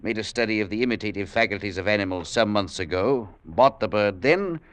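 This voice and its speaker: A man's voice: lowish voice, lively intonation, brisk, clean tone, no room sound, close to an everyday voice. A man playing a brusque, misanthropic professor in a vintage radio drama, speaking in a curt, clipped, dismissive way. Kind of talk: 1940s radio acting.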